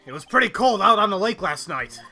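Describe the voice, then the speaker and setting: A man voicing a gruff, blustering but loyal police detective in an amateur video game dub, brash and folksy. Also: sits high, sing-song, fairly quick, pushed and bright, faint music behind, heavily acted. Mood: earnest, loyal. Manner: brash, folksy, calls people pal.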